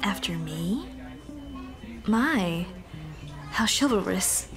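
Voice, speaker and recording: A woman's voice: mid-range voice, lively intonation, extremely slow. A woman playing a shy, flustered monster girl in an ASMR roleplay, with a nervous, stammering, rambling way of talking. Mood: warm, playful. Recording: music behind the voice.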